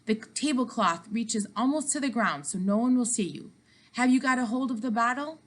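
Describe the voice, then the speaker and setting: A woman's voice: fairly high pitch, moderate pace, pitched above the performer's own voice, natural voice. A woman voicing a kindly, secretive old grandmother in a storybook. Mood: hushed, careful.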